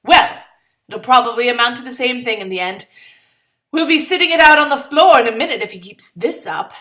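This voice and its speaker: Woman acting out a sardonic, melodramatic young woman, wildly animated. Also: on the high side, fairly quick. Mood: exasperated. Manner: sarcastic, melodramatic. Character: sardonic, exasperated 1920s young woman's inner monologue at a dance. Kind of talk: dramatic first-person short story reading.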